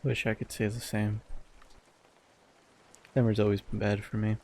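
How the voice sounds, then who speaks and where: A man's voice: lowish voice, little pitch movement, normal speed, a little mellow. A young man co-hosting a podcast in an informal, slightly sardonic conversational style. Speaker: podcast co-host. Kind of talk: casual podcast chat.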